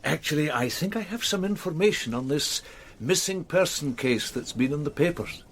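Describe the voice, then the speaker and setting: An actor playing a prim, talkative bank manager in a radio drama, explaining things in a measured, businesslike way. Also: male voice, fairly high pitch, expressive, fairly bright tone, a little hiss or hum. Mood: confiding.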